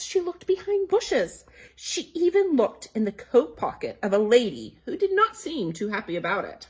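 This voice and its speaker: A woman's voice: mid-range voice, breathy edge. A woman reading a children's story aloud as a library storytime host, expressively, with asides and questions to young listeners.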